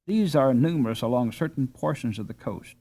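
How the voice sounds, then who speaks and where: A man narrates a classic storybook in a mid-range voice. His delivery is scripted and measured, with expressive phrasing suited to an audiobook. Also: medium pitch, slightly rough.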